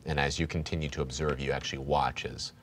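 Deep-voiced man narrating horror. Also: fairly even intonation, fast, a bit bright. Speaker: game master. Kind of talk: improvised horror narration for a tabletop game. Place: indoor studio set dressed as a bunker.

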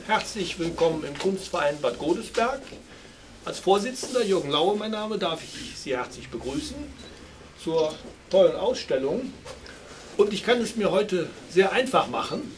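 A man's voice: high-pitched, lively intonation, normal speed, slight background noise. Older chairman heard from a distance. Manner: cordial, formal. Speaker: club chairman. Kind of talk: welcome speech. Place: gallery, indoors. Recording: distant mic in room.

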